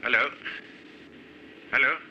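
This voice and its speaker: A man making a prank phone call, faking a bad cold and apologizing over and over. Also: slow. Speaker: prank caller. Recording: noisy film audio.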